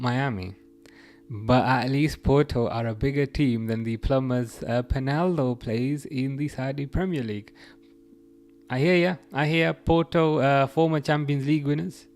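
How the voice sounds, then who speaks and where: A young man hosting a relaxed football livestream, reading out chat messages and rambling through his thoughts with frequent restarts. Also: mid-range voice, moderate pace, faint music behind.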